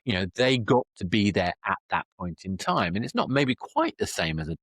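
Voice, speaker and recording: A man's voice: low voice, very animated, normal speed, fairly bright tone, slightly rough, no echo. A man in a podcast conversation, musing aloud and working through his ideas as he goes. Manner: musing, discursive.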